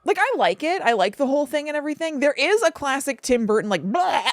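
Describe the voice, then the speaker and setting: A woman co-hosting a podcast, casually retelling a film in an informal, expressive, hedging way. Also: sits high, fast, dry recording, studio quality. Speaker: podcast co-host.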